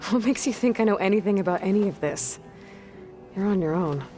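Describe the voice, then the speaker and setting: A woman's voice: medium pitch, animated, brisk, mellow, soft background music, natural voice. A woman playing a young, determined outcast hunter in a video game, speaking plainly with terse, dry humor. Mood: dry teasing. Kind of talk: naturalistic video game acting.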